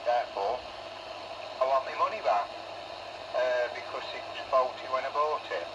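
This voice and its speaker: A man's voice: mid-range voice, normal speed. A British man chatting over CB radio in a direct, matey way. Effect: CB radio transmission. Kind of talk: casual chat over CB radio. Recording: dull, very noisy CB radio reception.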